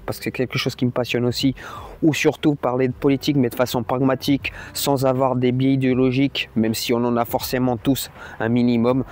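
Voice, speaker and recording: Male vlogger, native Portuguese speaker, mid-pitched voice; loose, colloquial, off-the-cuff delivery with plain-spoken bluntness. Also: a little mellow. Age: adult, parent. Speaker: YouTuber, host. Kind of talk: casual unscripted vlog monologue.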